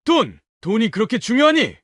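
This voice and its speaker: Male actor as bitter elderly father for radio drama: high voice, very animated pitch, reproachful. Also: fairly quick, dry recording, clean studio sound, heavily acted. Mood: wounded, reproachful. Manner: reproachful, emphatic questioning. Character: wounded, bitter old widowed father. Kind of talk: audio drama acting.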